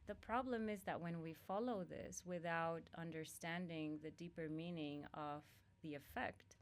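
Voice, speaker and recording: Low-voiced woman from Honduras, calm. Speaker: guest yoga teacher. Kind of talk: calm spiritual teaching talk.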